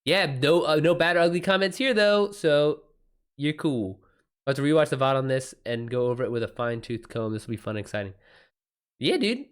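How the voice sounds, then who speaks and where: A man's voice: on the high side, expressive, brisk, smooth. A man hosting a livestream, chatting casually with viewers in loose slang and swearing freely. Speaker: livestream host.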